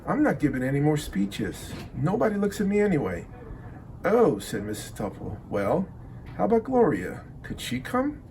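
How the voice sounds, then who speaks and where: Gravelly, animated man reading a storybook. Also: on the high side, moderate pace, rough recording. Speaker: police officer reading a children's book aloud. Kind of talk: read-aloud picture book narration. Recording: faint background noise.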